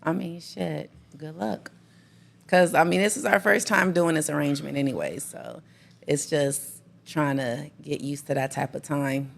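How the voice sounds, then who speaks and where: A woman's voice: low voice, unhurried, creaky. A woman guest on a casual talk show, talking in a laid-back, blunt way and laughing easily. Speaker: guest co-host. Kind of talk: casual chat.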